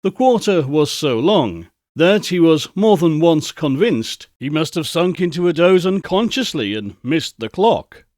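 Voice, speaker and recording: Guy reading classic fiction dramatically, slow deliberate phrasing, very dry close sound. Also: a little high, very animated, darkish tone, no room sound. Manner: theatrical, deliberate phrasing with emphatic repetition. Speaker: audiobook narrator. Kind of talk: dramatic literary storytelling.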